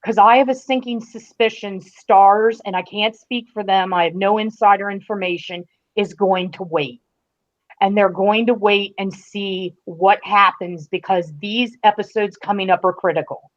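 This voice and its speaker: A woman's voice: medium pitch, steady tone, normal speed. A woman in a casual podcast discussion, explaining things emphatically as a guest critic and stretching out stressed phrases. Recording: dull, lo-fi call audio.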